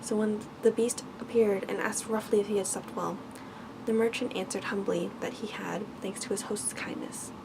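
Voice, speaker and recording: A woman's voice: mid-range voice, fairly even intonation, moderate pace. A woman reading a bedtime story aloud in ASMR style as a storyteller. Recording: clearly noisy background ambience.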